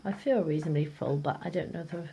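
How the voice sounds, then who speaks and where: A woman's voice: deep voice, fast, vocal fry, warm tone, dull audio. A British woman chatting casually to camera in a food diary vlog, rambling and hesitant, often trailing off and repeating herself. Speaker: vlogger. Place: at home. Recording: noisy amateur home video.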